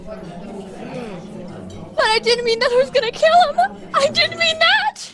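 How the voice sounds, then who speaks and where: A woman playing a distraught young defendant in a radio play, near tears, halting, desperate and pleading. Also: very high voice, animated, heavy background noise. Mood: frantic protest. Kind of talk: radio play courtroom acting.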